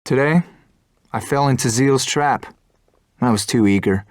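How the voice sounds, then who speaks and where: A man's voice: mid-range voice, a little slow, a little mellow, dry recording, studio quality, lightly acted. Earnest young fighter pilot, played by a man. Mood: troubled. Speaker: fighter pilot protagonist and narrator. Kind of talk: video game cutscene narration and dialogue. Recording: music behind the voice.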